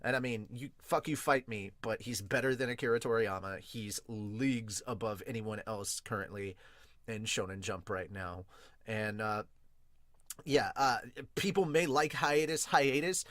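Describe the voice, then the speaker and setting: A man hosting a podcast with casual, rambling review commentary, excitable and sweary. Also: fairly low pitch, measured pace, no echo. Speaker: podcast host and manga reviewer.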